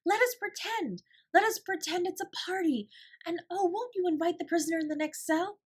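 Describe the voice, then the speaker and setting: A woman performs an imaginative young girl from a classic storybook. The voice sits high and comes out emphatic and breathless, full of kindly hope and make-believe. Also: expressive, moderate pace. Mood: delighted, eager. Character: imaginative, kind, hopeful young girl, bright and dreamy.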